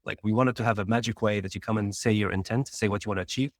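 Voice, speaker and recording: A man from Tunisia, a startup founder, telling his career story as a guest in a casual interview, rambling quickly with restarts. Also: lowish voice, steady tone, no echo, clean studio sound.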